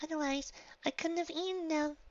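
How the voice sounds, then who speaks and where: A woman voicing a bouncy, silly, excitable young female cartoon pony who gushes and argues in a story reading. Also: high voice, moderate pace, no room sound, highs cut off, voice pushed up, close to an everyday voice. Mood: playful, teasing. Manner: gushing, over-excited, argumentative.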